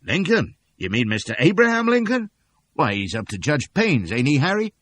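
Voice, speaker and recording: A man's voice: fairly high pitch, sing-song, a bit bright, pitched above the performer's own voice. A man doing a bossy rustic townsman. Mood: helpful. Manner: rustic, commanding, hurried. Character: bossy rustic small-town American man, urgent.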